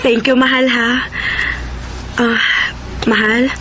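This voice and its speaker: A woman's voice: fairly high pitch, level tone, slow pace, fairly bright tone, slight background noise, low-quality recording. An actress playing an emotional wife and mother in a Cebuano radio drama, with a Filipino accent. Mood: gentle, affectionate. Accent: Filipino, Cebuano-speaking. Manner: emotional, melodramatic delivery. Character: betrayed, fiery wife and devoted mother.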